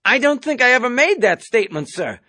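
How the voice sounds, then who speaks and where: A man's voice: very high voice, sing-song, brisk, sharp tone, voice pushed up, full character voice. A man reading an audiobook, voicing a terrified young cadet who stammers and pleads politely. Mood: flustered. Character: terrified young male air cadet, timid and flustered. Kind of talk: audiobook character voice.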